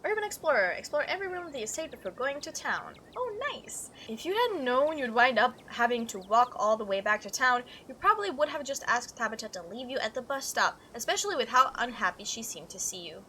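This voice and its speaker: High-pitched animated woman playing games. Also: brisk, few pauses, slight background noise. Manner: chatty, playful, reactive. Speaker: video game streamer doing a playthrough. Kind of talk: let's play, reading aloud and reacting.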